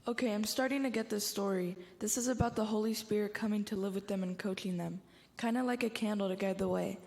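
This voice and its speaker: A woman's voice: mid-range voice, steady tone, fast, creaky, darkish tone, lightly acted. A female performer playing a curious, no-nonsense girl with a questioning, mildly bossy manner in a church reader's theater. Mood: curious, firm. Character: curious, no-nonsense girl trying to follow the story. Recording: reverberant hall.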